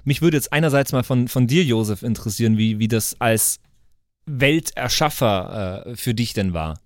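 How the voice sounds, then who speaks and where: A man's voice: mid-range voice, expressive, moderate pace, dry recording, clean studio sound. A man co-hosting a podcast in casual, jokey banter with a touch of mock showmanship. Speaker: podcast co-host. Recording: music behind the voice. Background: music.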